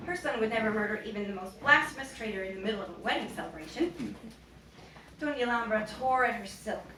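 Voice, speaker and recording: Bright-voiced woman reading fiction aloud. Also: fairly high pitch, animated, fast talker. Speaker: author reading from her novel. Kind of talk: expressive public book reading. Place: indoor bookstore event. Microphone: boom-stand mic at lectern, distant camera. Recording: roomy, distant, noisy bookstore recording.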